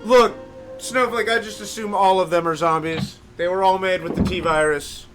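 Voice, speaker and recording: A man's voice: high-pitched, steady tone, normal speed, low-quality recording. A man casually commentating his live gameplay at home, joking and chatty. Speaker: game streamer. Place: home, indoors. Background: game music.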